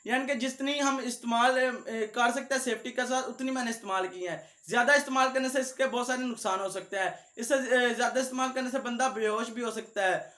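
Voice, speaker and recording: A young man with a high voice hosting a vlog and talking directly to his viewers. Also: sharp tone. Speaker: video creator, host. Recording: echoey room.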